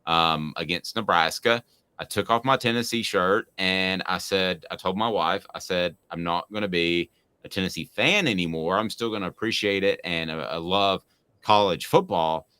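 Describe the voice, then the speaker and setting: A man's voice: deep voice, normal speed, sharp tone, clean tone. A man in his forties hosting a sports talk show, telling rambling, self-mocking stories in a casual way. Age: 40s. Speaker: sports radio host.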